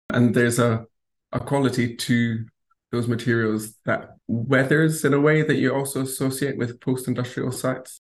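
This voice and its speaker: Flat-toned, calm male architect. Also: mid-range voice. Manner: calm, measured, explanatory, with small fillers. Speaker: architect and bench designer. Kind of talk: interview answers.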